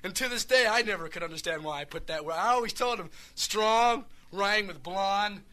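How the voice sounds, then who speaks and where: A street singer, a man, rambling earnestly through song introductions with many restarts, his voice a little hoarse. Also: sits high, normal speed, bright, forceful tone.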